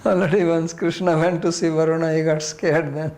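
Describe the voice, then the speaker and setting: A man's voice: fairly high pitch, little pitch movement, a little fast, a little mellow. A man with an Indian accent leading an informal class discussion as a spiritual teacher, answering questions bluntly and challengingly with rhetorical questions.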